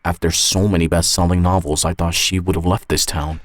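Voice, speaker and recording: Deep-voiced man narrating, dry sound. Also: flat delivery, a little fast, no room sound. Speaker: narrator and author. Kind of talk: scripted first-person story narration.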